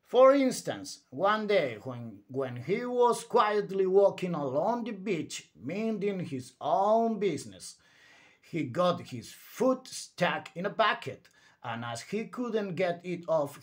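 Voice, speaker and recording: A man reading a children's story aloud in a theatrical, emphatic bedtime read-aloud style. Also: sits high.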